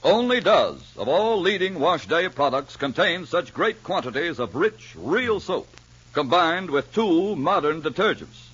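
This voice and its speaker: A man delivering a 1950s radio sales pitch as a commercial announcer. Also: very animated, unhurried, fairly bright tone, slightly rough. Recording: muffled old radio recording.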